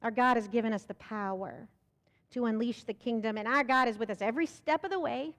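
Young American woman pastor, high voice, emphatic sermon delivery at a pulpit mic. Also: brisk, a bit bright, long reverb tail. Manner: rhetorical questions, emphatic rising delivery. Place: church sanctuary. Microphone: pulpit microphone.

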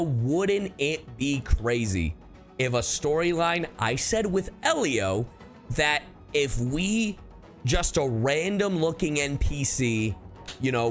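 A man's voice: on the high side, a bit bright. A man streaming casually to his chat, slangy and emphatic, repeating himself to drive points home. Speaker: streamer and voice actor. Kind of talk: casual livestream reaction chat.

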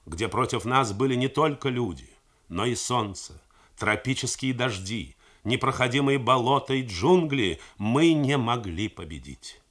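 A man reading the words of an American colonel recounting what he saw at war, in a grave, measured manner. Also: mid-range voice, animated, a bit bright. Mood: solemn. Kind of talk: 1980s radio novel reading.

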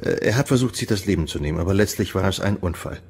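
An actor in a radio play voicing a worried, polite male investigator who presses insistently in a measured way. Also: deep voice, lively intonation, a little mellow, slight background noise. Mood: grave. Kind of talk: German radio drama acting.

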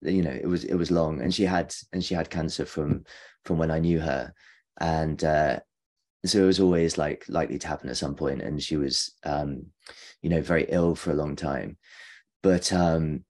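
Man, thirties, deep pitch, hesitant, halting, casual reflection, video call. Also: a little mellow. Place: home kitchen indoors.

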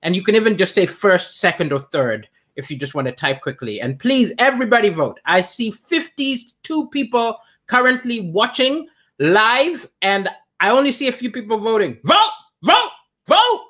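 A middle-aged man from Malawi doing stand-up over a livestream, animated and chatty between jokes. He talks to a webcam from his home kitchen, voice close and unprocessed. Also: high-pitched, normal speed. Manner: animated, gesturing, shifts between chatty asides and punchlines. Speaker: comedian and livestream host. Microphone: webcam at desk.

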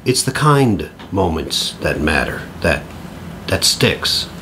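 An actor playing an awkward, earnest middle-aged divorced man in an audio drama, halting and stammering, eager to please. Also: deep voice, very animated, background noise, heavily acted. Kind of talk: naturalistic audio drama acting.

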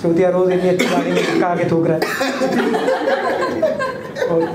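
A man's voice: sits high, completely flat delivery, moderate pace. A young male stand-up comedian telling a crude, casual story and checking in with the crowd. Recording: echoey club room, loud crowd laughter. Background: audience laughing.

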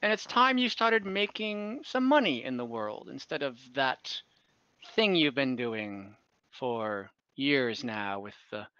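A man's voice: medium pitch, lively intonation, slow, bright, forceful tone, clean tone, lo-fi sound. A man playing a wealthy, fussy father of a young cleric, in a tabletop game over a video call. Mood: scolding. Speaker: game master.

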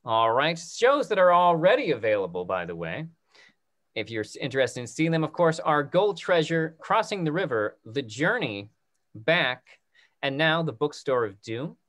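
A man's voice: a little high, big pitch swings, moderate pace, a bit bright. A man in his thirties informally making announcements as host on a video call, encouraging and a little hesitant. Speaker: instructor hosting a theatre talkback. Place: indoor room on a video call. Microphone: computer microphone on video call.

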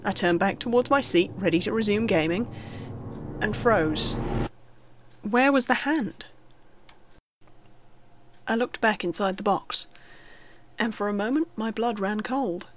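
A woman reading a scripted first-person horror story in a measured, suspenseful storytelling manner. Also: a little high. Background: background music under the narration.

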